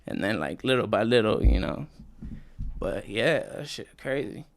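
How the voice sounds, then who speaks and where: A young man from Colombia, a guest telling his life story in a relaxed, slangy way with many fillers. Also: fairly low pitch, moderate pace, no echo. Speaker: interview guest, rapper. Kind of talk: casual interview talk.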